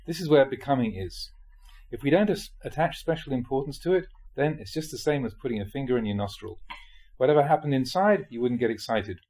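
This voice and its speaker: A male reader delivers a spiritual teaching in an explanatory, measured manner. His mid-pitched voice has a husky grain and moves expressively up and down as he sets out questions and explanations. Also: lively intonation, darkish tone. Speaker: monk reading dharma talks aloud. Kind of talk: reading a spiritual talk aloud.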